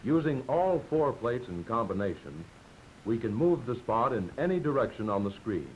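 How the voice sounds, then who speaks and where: An American man delivers scripted 1940s training film narration with lively, varied intonation. He explains things in a measured, didactic manner. The old film soundtrack sounds dull and lo-fi, with a faint layer of noise. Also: medium pitch.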